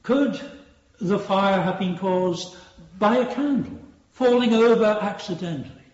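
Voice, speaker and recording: A man's voice: high voice, measured pace, a little mellow. Stern male barrister in a radio drama, formal pointed questions, takes his time, echoey muffled sound. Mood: probing. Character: stern male Victorian prosecuting barrister, sceptical and probing. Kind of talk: radio courtroom drama acting. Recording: roomy, slightly muffled courtroom sound.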